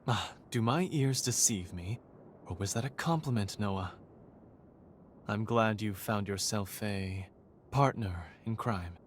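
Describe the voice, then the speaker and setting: An actor playing a courteous, refined male leader in a video game, with formal, measured, polite diction. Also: fairly low pitch, slight background noise. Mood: gently teasing. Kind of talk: video game cutscene acting.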